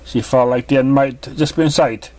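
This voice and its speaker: Mid-pitched man reading a story, stumbling. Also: steady tone, normal speed, a little mellow. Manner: stumbling, uneven reading with misreadings. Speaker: amateur narrator. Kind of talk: fan fiction story narration.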